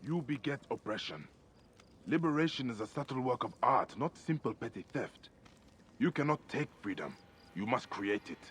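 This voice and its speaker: An actor playing a grave, principled Egyptian assassin leader in a video game, speaking in a measured, solemn way. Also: male voice, medium pitch, very animated, fairly bright tone, breathy edge. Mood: solemn, reproving. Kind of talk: dramatic video game cutscene acting.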